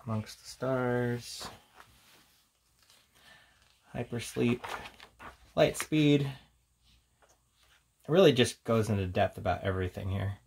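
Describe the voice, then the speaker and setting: A man's voice: mid-range voice, fairly even intonation, slow pace, gravelly, long pauses, a little mellow, slight background noise. A man giving a casual, unscripted, rambling commentary as a tabletop game reviewer, reading out headings between offhand remarks.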